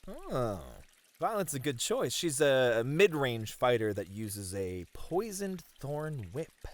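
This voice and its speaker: Casting: male actor as easygoing gamer event manager, very animated intonation, mid pitch, chatty and a bit flustered, ASMR roleplay. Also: takes it slowly. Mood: flustered, amused. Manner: casual, chatty, hesitant filler-laden banter. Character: friendly, slightly flustered male gaming tournament manager.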